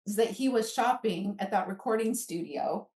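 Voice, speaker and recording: A woman's voice: a little high, normal speed, a little mellow. A woman in her fifties telling personal stories in a casual, conversational way, acting out quoted asides as a guest. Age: 50s. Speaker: guest author. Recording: roomy echo, distant from microphone.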